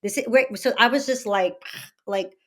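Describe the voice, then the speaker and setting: A woman in her early fifties from Texas talking conversationally as a podcast guest, emphatic and often halting to correct herself. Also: a little high. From Texas, United States. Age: early 50s. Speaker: podcast guest, author and meditation teacher. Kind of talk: conversational interview, personal storytelling.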